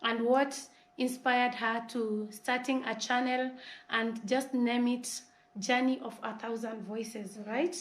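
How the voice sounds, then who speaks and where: Upbeat woman interviewer from Kenya, a bit high, echoey room. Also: steady tone, slow pace. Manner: upbeat, encouraging, frequent affirmations. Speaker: interviewer, content creator. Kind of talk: casual interview.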